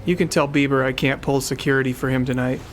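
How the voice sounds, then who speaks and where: A man's voice: mid-range voice, little pitch movement, quick pace, a little hiss or hum, lightly acted. Man playing a curt, guarded military father. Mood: dismissive, sarcastic. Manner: curt, sarcastic, dismissive. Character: gruff, guarded military officer and protective father. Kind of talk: audio drama acting. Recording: music behind the dialogue.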